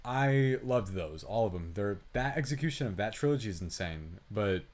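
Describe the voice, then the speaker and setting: A man's voice: fairly low pitch, lively intonation, normal speed, darkish tone. A man co-hosting a podcast, giving opinionated, rambling film commentary in casual conversation. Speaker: podcast co-host and film reviewer. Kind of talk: casual movie review chat.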